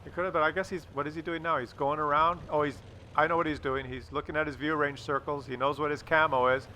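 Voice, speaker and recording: Man, slightly high pitch, bright sharp tone, casual gameplay commentary, rambling, mildly sardonic. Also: level tone, quick pace, a little hiss or hum. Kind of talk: casual video game commentary.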